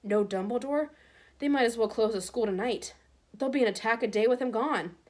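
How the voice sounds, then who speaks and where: A woman acting schoolboy, worried, urgent asides, breathy, storybook character. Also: on the high side, fairly quick, close to an everyday voice.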